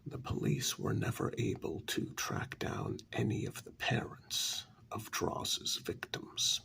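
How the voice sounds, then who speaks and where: A grave, troubled male asylum staffer acted by a man recounts a dark case in an ASMR role-play. His soft voice sits low and crackles with heavy fry, often slipping into a half-whisper. He speaks in short, deliberate phrases with long pauses. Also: big pitch swings, exaggerated voice. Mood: grave, measured.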